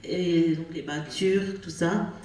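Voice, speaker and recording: Slow, hesitant woman in an echoey hall. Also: fairly low pitch, steady tone, a little mellow. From Syria. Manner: hesitant, searching for words. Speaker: audience member asking a question. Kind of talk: question from the floor. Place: cinema auditorium. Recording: echoey hall, distant microphone.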